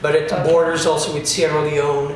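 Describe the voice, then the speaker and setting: Male drum teacher from Italy, slightly high voice, rambling casual explanations, far-off mic in a reverberant room. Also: little pitch movement, normal speed, a loud crowd. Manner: chatty, explanatory, lots of fillers. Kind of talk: casual interview answers. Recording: echoey room, distant mic, noisy.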